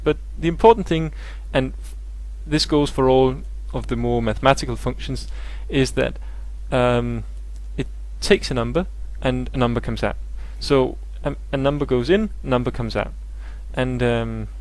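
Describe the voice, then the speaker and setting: A young man with Danish as his first language explains maths in a loose, unscripted live stream. His voice sits at an ordinary middle pitch. He hesitates a lot, fills gaps with "um" and "yeah", and often restarts his sentences. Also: unhurried, a little mellow, low-quality recording. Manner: hesitant, many fillers and restarts. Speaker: maths tutor and host. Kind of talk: casual live tutorial.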